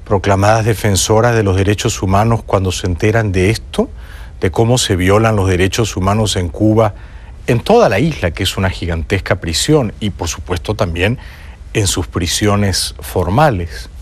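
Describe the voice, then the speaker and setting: A man's voice: on the low side, moderate pace, slightly rough. A Peruvian man hosting a talk show, delivering an indignant, sarcastic monologue with teasing asides. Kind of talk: opinionated monologue.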